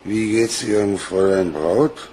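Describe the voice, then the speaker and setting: Actor as slow, low-voiced, sly detective. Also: male voice, warm tone, a little hiss or hum, lightly acted. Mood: sly, insinuating. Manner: deliberate, insinuating. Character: sly, needling, slow-talking fat police detective. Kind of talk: German crime radio play acting.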